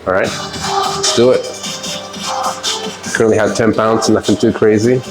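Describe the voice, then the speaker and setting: A man's voice: fairly low pitch, moderate pace, music in the background. A man with a stuffy, congested voice talking casually through a workout, rambling with fillers. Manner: relaxed, rambling, with fillers and laughs. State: congested from a cold. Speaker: fitness vlogger. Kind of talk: casual workout vlog talk.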